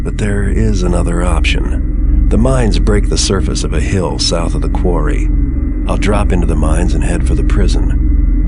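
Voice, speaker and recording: Gravelly, deadpan master thief. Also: male voice. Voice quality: low, gravelly. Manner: dry, deadpan, understated. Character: cynical, world-weary male master thief, adult. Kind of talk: scripted video game cutscene narration. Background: loud background music.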